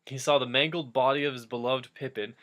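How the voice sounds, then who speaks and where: A man's voice: mid-range voice, steady tone, brisk, a bit bright. A young adult man reading a story casually for comedy, breaking in with wry asides. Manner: wry, interjecting. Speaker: co-reader and wisecracking commentator.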